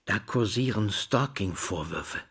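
A man's voice: on the low side, lively intonation, unhurried, fairly bright tone, husky, heavily acted. An actor playing a troubled older school director in a drama, stern, insistent and formal as he questions. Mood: pressing. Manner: insistent, interrogating, formal address. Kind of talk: German stage-style radio play dialogue.

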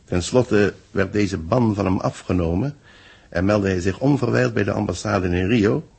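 An actor playing a grave space research official in a 1960s radio drama, explaining things in a measured, formal way. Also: male voice, on the low side, vocal fry, darkish tone, slightly rough, heavily acted. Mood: earnest, explaining.

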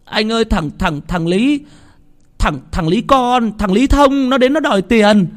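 Man acting panicked high-pitched young village lad. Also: expressive, unhurried. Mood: panicked, urgent. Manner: stammering, breathless, repeating words.

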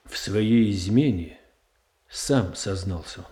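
An actor playing a stern, ambitious statesman in a classical verse radio drama, speaking in a deliberate, declamatory manner. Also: lowish voice, mellow. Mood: cold, guarded. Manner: deliberate, weighty, declamatory delivery. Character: stern, ambitious, calculating statesman brother of the Tsarina. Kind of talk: classical verse tragedy in a Russian radio play.

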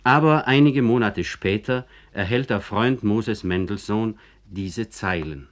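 A man narrating a scripted literary radio documentary in a formal, measured manner. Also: lowish voice.

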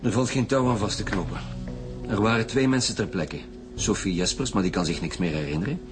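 An actor playing a blunt, sarcastic chief police inspector in a radio drama, with a Flemish accent. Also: male voice, on the low side, fairly quick, music in the background, lightly acted. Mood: sceptical. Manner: gruff, sarcastic, colloquial. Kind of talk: naturalistic radio drama acting.

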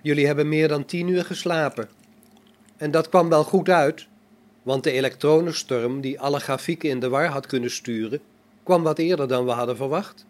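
An amateur actor in a radio play as a calm, pious robot, a man speaking in a measured, solemn, preachy manner. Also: fairly high pitch, natural voice. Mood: polite, serene.

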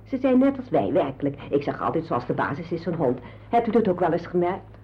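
Chatty older widow, lively intonation. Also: female voice, fairly low pitch, brisk, a little hiss or hum. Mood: friendly. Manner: garrulous, confiding. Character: chatty, sociable widow devoted to her poodle. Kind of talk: radio drama acting, conversational. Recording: dull lo-fi radio play recording.

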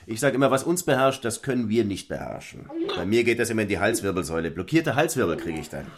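A man playing a plain-spoken, folksy middle-aged salesman husband in a stage play, blunt and excitable. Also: lowish voice, quick pace, noisy background. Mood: soothing, rambling. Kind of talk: theatrical stage-play dialogue.

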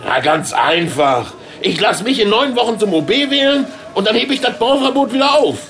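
An actor playing a blunt, scheming, stubborn sausage factory boss in a radio play, with a Ruhr area German accent. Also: male voice, high-pitched, very animated, moderate pace, fairly bright tone, exaggerated voice. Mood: scheming, threatening. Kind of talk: colloquial radio play dialogue.

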